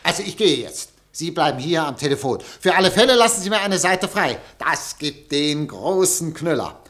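An actor playing a shrewd, excitable newspaper director in a radio drama, with a theatrical way of talking. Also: male voice, fairly high pitch, moderate pace. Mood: excited, urgent. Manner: theatrical, swinging between evasive murmur and urgency. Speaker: newspaper chief.

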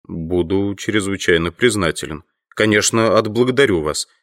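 An actor playing a polite, educated teacher who collects insects, speaking courteously and patiently in an audio drama. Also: male voice, low voice, normal speed.

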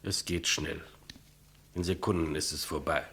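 A humble male executioner who talks about the job plainly and with deference. The voice is deep and heavily husky, the delivery subdued. It is acted as in a 1950s German radio drama. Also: fairly even intonation, moderate pace, bright, forceful tone, heavily acted. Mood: matter-of-fact. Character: humble, plodding, matter-of-fact male state executioner.

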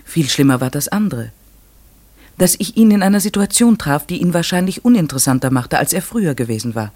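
An actor playing a reflective, troubled man who narrates a radio play in the first person, measured and literary. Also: fairly high pitch, expressive, darkish tone. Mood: uneasy, pondering. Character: troubled, reflective male narrator, a village lodger.

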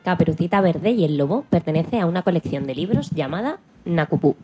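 A woman's voice: lowish voice, animated, fairly quick, mellow. A woman with a Spain Spanish accent presenting scripted copy as a radio co-host, over faint noise.

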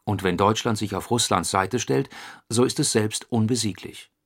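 An actor playing a stern military voice in a radio play, formal and declamatory. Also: male voice, fairly low pitch, moderate pace, fairly bright tone, breathy edge. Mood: solemn, declamatory. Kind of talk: radio play documentary reading.

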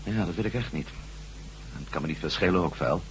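A man's voice: deep voice, fairly quick, background noise, close to an everyday voice. A man playing a weary, wry, commanding ex-astronaut adventurer in a radio drama, brusque and sardonic. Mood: wry, teasing.

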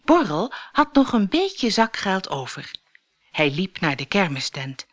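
A woman reading a scripted children's audiobook in an expressive storytelling manner. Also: mid-range voice, unhurried.

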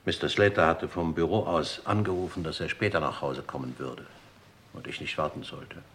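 An actor playing a gaunt, older English manservant in a radio crime drama, formal, precise and deferential. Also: male voice, a bass voice, moderate pace, a bit bright. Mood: earnest. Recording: old radio recording, noisy background.

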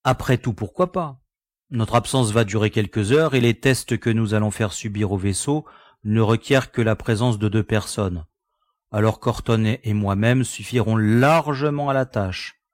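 An actor playing an easygoing, practical spaceship pilot in an audio sci-fi drama, speaking in a relaxed, reasonable way. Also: male voice, lowish voice, moderate pace, no echo, lightly acted. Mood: agreeable.

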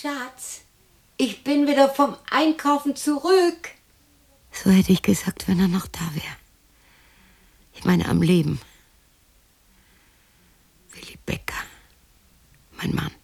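A woman's voice: high-pitched, lively intonation, slow, creaky, long pauses, darkish tone, exaggerated voice. An actress playing a lonely, cantankerous widow in her sixties in a radio drama monologue, muttering to herself and snapping. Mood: wistful. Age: 60s. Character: lonely, cantankerous sixty-year-old German widow talking to herself.

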